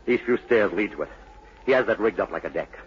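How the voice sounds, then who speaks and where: An actor playing the haunted, brooding son of a mad sea captain in a dramatic, insinuating 1940s radio style. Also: male voice, on the low side, expressive, fairly quick, natural voice. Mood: brooding, secretive. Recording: muffled, hissy 1940s radio broadcast.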